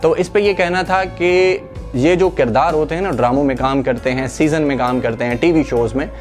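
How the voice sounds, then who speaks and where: Young pakistani guy explaining news to camera, chatty, lapel mic, soft music behind. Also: on the high side, normal speed. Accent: Pakistani Urdu. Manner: conversational, many fillers, addresses viewers directly. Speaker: news channel presenter. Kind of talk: explanatory current-affairs commentary. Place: studio in front of a green screen. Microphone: clip-on lapel mic. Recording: faint background music.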